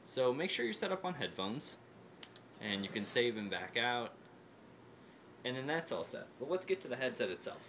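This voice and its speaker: A man's voice: medium pitch, very animated, moderate pace, long pauses, fairly bright tone, a little hiss or hum. A man talking casually through a setup tutorial, instructional and repeating his steps for emphasis. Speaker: presenter of a gaming headset setup tutorial. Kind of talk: casual unscripted tutorial talk.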